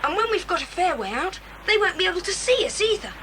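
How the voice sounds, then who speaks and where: A brisk, commanding young boy in a children's adventure drama. The voice is high and lively, earnest in giving orders, on a noisy old cassette. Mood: urgent, commanding. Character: Jack, bold decisive boy leading the children. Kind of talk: children's audiobook drama acting. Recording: hissy, rumbling 1980s cassette transfer.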